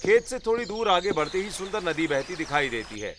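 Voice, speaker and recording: Guy narrating a hindi story, voice on the higher side, some noise behind it. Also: very animated, brisk, a bit bright.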